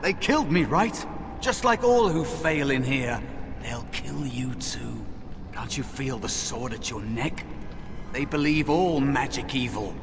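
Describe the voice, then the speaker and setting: A man playing an earnest, furtive young apprentice mage in a fantasy video game, with a dramatic, imploring delivery. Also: mid-range voice. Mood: agitated, pleading. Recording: long reverb, clear background noise.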